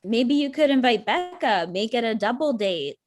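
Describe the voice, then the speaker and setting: A woman's voice: fairly high pitch, expressive, moderate pace, a little mellow, natural voice. An actress playing a sardonic, wounded ex-girlfriend in her thirties, with dry, pointed delivery in a comedic table read. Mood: resentful. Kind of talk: naturalistic comedic dialogue reading.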